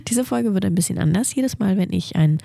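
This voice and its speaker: A woman's voice: mid-range voice, animated, brisk, vocal fry, warm tone, dry recording, clean studio sound. A woman hosting a casual solo science podcast, talking eagerly about nerdy facts while she has a cold and a blocked nose. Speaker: podcast host.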